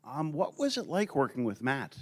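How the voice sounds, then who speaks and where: A man's voice: mid-range voice, moderate pace. A man interviewing a guest in a casual, friendly way, with small jokes between his questions. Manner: friendly, joking, lively intonation.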